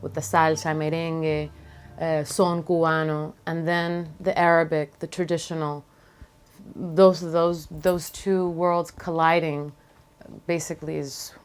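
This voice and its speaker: A woman with a low-pitched voice reflects on herself in an interview. She speaks thoughtfully and haltingly, pausing in the middle of phrases as she searches for words. Soft music plays behind her. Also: little pitch movement, clean tone. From Venezuela. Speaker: singer-songwriter being interviewed.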